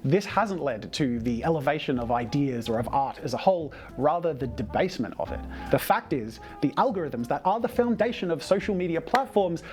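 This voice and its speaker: A man's voice: fairly high pitch, animated, a little fast, few pauses, darkish tone, faint music behind. A man delivering scripted, argumentative video essay narration in an articulate, emphatic manner.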